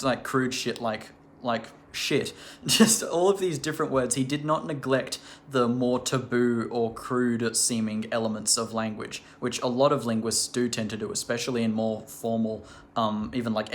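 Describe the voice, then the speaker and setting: A man's voice: mid-range voice, a little hiss or hum. Chatty man with many fillers. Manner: enthusiastic and curious. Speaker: language channel host. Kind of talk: casual talk to camera.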